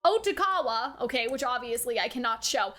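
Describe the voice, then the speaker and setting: A woman's voice: a little high, brisk. A young woman giving a casual, rambling, slangy recap and commentary as an anime reviewer, teasing and exasperated. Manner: slangy, chatty, peppered with like and rhetorical checks; animated, exasperated teasing of characters.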